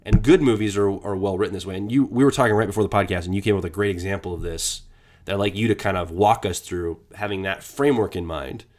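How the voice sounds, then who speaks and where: A man's voice: deep voice, fast, darkish tone. A man co-hosting a podcast, joking casually and then explaining ideas at length, with a slight scratch in his throat. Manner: playful, rambling explainer. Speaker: podcast co-host.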